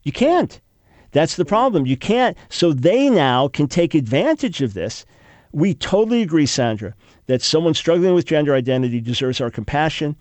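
A man's voice: on the high side, sing-song, moderate pace, darkish tone, dry recording. An older man hosting call-in talk radio, sounding congested, arguing emphatically with frequent restarts. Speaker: radio talk show host.

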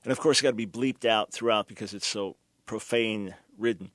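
A man's voice: lowish voice, fairly quick, a bit bright, dry recording. An older male host giving emphatic, preacherly commentary to his listeners. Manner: emphatic, preacherly, mocking at times. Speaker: radio show host.

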